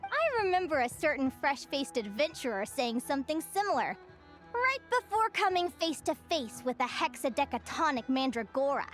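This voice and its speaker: High, cheerful young naturalist teacher. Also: female voice, very animated, normal speed, soft background music. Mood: upbeat, teacherly. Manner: bright, encouraging, teacherly. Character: cheerful young female naturalist lecturing to children. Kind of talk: video game voice acting.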